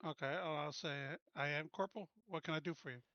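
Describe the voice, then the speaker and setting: A man's voice: on the high side, a little fast, dry recording, dull audio. A man playing a tabletop roleplaying game, talking casually as a player.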